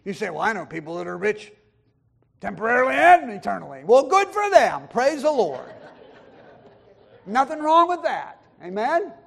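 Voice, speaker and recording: A man preaching a sermon, quoting scripture and swinging between loud emphatic lines and quiet low asides. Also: sing-song, normal speed, vocal fry. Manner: quotes scripture and acts out voices of others in the talk. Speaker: pastor.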